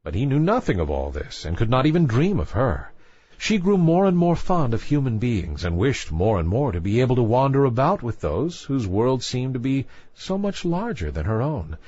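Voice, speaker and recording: A man's voice: mid-range voice, very animated, moderate pace, a little mellow, no room sound, highs cut off. A man reading a scripted bedtime fairy tale as a storyteller.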